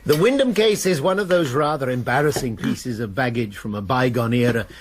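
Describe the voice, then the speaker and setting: Urbane, animated English college master, acted by a man. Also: medium pitch, brisk, natural voice. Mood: urbane, explaining. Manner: urbane, formal, wordy. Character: genial, pompous male master of a Cambridge college. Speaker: radio drama actor. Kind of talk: radio drama acting.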